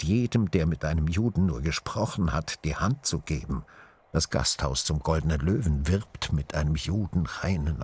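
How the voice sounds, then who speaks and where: A man playing a weary, embittered Prussian official in a radio play, blunt and exasperated, with a gravelly voice. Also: very deep voice, a little hiss or hum. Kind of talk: radio drama monologue.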